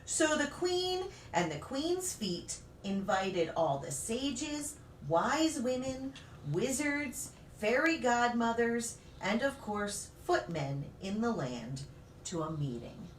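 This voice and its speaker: A woman reading a children's story aloud expressively, with deliberate phrasing and dramatic pauses. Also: on the high side, big pitch swings. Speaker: pastor reading children's stories. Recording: roomy, distant mic with faint noise.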